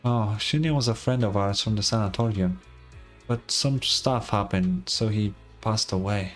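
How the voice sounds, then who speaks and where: A man's voice: on the low side, unhurried, faint music behind. Man acting as a shy, stammering sick boy. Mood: downcast, hesitant. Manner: hesitant, stammering. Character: shy, insecure sick boy, easily flustered.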